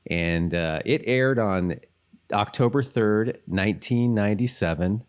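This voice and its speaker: A man's voice: fairly low pitch, unhurried, smooth. A man co-hosting a podcast, chatting casually in a loose, rambling way as he thinks aloud. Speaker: podcast co-host. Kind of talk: casual chat about a TV episode.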